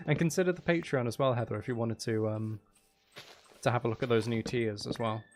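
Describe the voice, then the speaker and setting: A man's voice: mid-range voice, fairly even intonation, a little fast, faint music behind. A man live streaming in a casual, chatty way, with dry, teasing humour while he plays a game.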